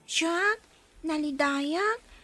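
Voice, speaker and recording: An earnest young child in a radio drama. The voice is extremely high and small, innocent in its directness. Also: female voice, very slow, sharp tone, exaggerated voice. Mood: earnest, pleading.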